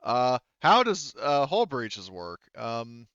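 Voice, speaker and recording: Guy game mastering, smooth clear voice, sweary and informal, dry slightly muffled sound. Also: medium pitch, big pitch swings, slow, a bit bright, no room sound. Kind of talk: casual tabletop game narration and rules talk.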